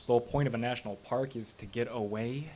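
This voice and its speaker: A man's voice: mid-range voice, fast, a little mellow, close to an everyday voice. A man playing a cautious, rule-minded park ranger in a live audio drama, exasperated and dryly wary. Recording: noisy live stage recording with clicks.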